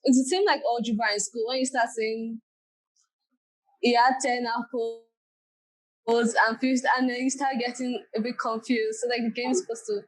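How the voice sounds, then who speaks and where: A woman's voice: fairly high pitch, flat delivery, moderate pace, low-quality recording. Young woman, chatty. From Nigeria. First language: Yoruba. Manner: chatty, many "like" fillers. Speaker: guest. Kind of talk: casual interview talk.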